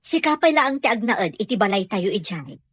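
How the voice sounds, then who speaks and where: A woman's voice: mid-range voice, sing-song, normal speed, slightly rough, no room sound. A woman playing a concerned, coaxing mother in an Ilocano audio drama, with a Filipino accent.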